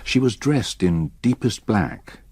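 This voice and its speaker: A haunted English gentleman telling his own ghost story in a radio drama. His voice is on the low side, with sombre, carefully measured literary phrasing. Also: animated. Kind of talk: first-person scripted narration, radio drama.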